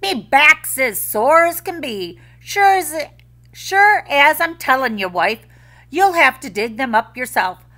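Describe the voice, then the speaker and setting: A lazy, self-pitying peasant husband moans about his troubles in a high, whining storybook voice, put on by a woman. His lilts, and his pitch leaps about wildly. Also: big pitch swings, slow, pushed and bright, exaggerated voice. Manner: whiny, wailing.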